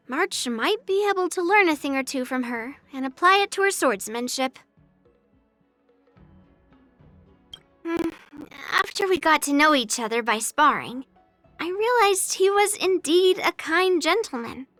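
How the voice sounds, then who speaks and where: Confident young swordswoman, video game heroine, bright forceful tone, unhurried delivery, plucky and proud. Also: very high voice, dry recording, exaggerated voice. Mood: mildly puzzled. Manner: brash, plucky, expressive. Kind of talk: video game character voice lines.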